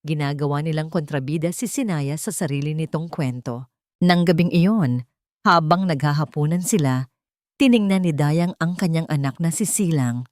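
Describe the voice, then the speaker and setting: A Filipino woman narrates a dramatic love story from a script. Her voice sits a little low for a woman, and the sound is dry, with very little room around it. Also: normal speed, a little mellow, no echo.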